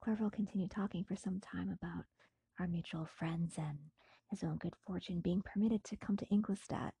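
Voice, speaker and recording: A woman narrates classic fiction in a very husky, airy voice that often sinks close to a whisper. Her phrasing is deliberate and theatrical, and the sound is dry and close. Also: fairly low pitch, steady tone, mellow, no room sound. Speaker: audiobook narrator. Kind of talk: dramatic scripted narration of a classic novel.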